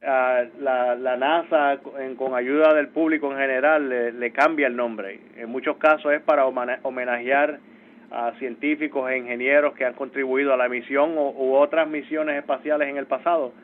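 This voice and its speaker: Brief: Puerto Rican man, interview guest, halting and full of restarts, heard over a thin phone line. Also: mid-range voice, steady tone. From Puerto Rico. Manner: halting, many restarts and fillers. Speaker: space agency mission coordinator, interview guest.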